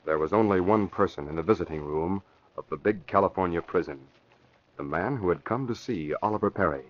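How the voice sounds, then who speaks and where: A man's voice: sits low, a little fast, a little hiss or hum. An American man announcing and narrating a 1940s radio show in a dramatic, emphatic, declamatory scripted style. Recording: muffled old radio broadcast recording.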